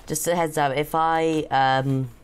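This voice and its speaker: Young man, a bit croaky, teasing gamer. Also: on the high side, flat delivery, a little slow. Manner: chatty, teasing, hesitant with frequent ums. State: sore throat, a bit croaky. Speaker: co-host playing a game with his father. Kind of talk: casual gaming chat.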